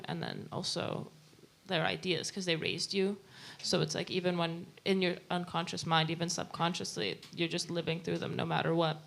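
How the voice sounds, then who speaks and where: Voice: medium pitch, creaky, fairly bright tone. An audience member thinking aloud in a rambling way, full of "like" and half-finished thoughts.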